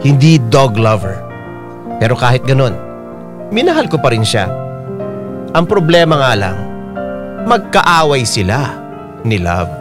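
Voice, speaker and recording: Animated male radio storyteller over piano music. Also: mid-range voice, unhurried, long pauses. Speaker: narrator reading a listener's letter.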